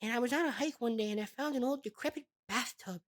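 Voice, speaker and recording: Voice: mid-range voice, fast, natural voice. A performer playing a very small, naive fisherman in his nineties, earnest and rambling in a comic mock interview. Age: 90s. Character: very small, simple-minded 92-year-old fisherman, stubborn, folksy.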